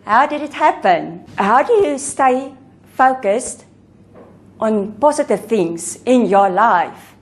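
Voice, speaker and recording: A woman's voice: on the high side, lively intonation, slow pace. A woman with an Afrikaans accent, a television presenter asking an interview guest formal, polished questions. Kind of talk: clip of a broadcast interview.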